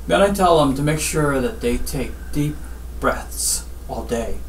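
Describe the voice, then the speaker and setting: Measured middle-aged man, roomy distant sound. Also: medium pitch, animated. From Guyana. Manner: measured, deliberate, short phrases with pauses. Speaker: spine surgeon, interview guest. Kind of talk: interview answers, health advice. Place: small office, seated at desk. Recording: roomy, distant mic, faint background noise.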